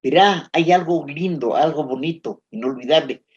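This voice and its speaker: A man's voice: fairly high pitch, normal speed. A man, interviewed, earnestly reminisces about old friends and work. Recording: muffled lo-fi recording.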